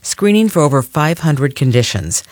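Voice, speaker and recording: Animated male advert reader, dry sound. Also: fairly high pitch, moderate pace, no echo. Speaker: advert voice-over. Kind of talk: scripted advert narration.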